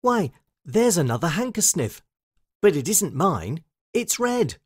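Man as a high-pitched, curious little storybook girl. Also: big pitch swings, measured pace, breathy edge, exaggerated voice. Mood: inquisitive. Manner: questioning, exclaiming. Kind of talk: children's storybook character voice.

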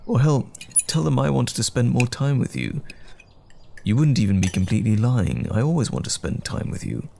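A man's voice: mid-range voice, sing-song, normal speed, gravelly, warm tone, a little hiss or hum. A man playing a loving, attentive boyfriend in a roleplay audio drama, tender and reassuring with playful pet names. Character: loving, attentive boyfriend comforting his troubled partner.

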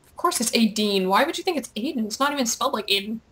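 Bright-voiced, high-pitched young woman gamer. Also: quick pace. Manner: playful, excitable, teasing. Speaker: gamer, video host. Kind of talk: casual gameplay commentary with reading aloud of on-screen dialogue.

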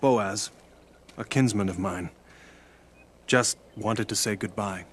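A proud terse farmer dad in a cartoon bible story, slow and lowish. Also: male voice. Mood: proud, reserved. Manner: grave, terse. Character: proud, stubborn farmer father in hard times. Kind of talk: animated Bible film acting. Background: music.

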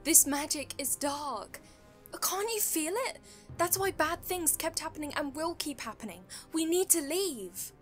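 Woman acting a high-voiced, superstitious sailor. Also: animated, unhurried, soft background music. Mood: fearful, urgent. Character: frightened, superstitious female ship's crew member. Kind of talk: fantasy audio drama acting.

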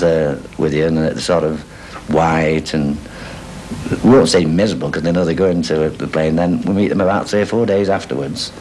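A man, talking in a documentary interview at home, halting often with fillers. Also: low-pitched, darkish tone, low-quality recording. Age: 60s. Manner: hesitant, halting with many fillers. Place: living room at home. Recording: old VHS tape with faint hiss.

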